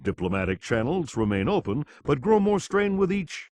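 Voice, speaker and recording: Grave newsreader with animated intonation. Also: male voice, a little high, no room sound, studio quality. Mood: grave, formal. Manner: formal, measured announcing. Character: grave male television news anchor. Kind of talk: scripted news bulletin in a video game.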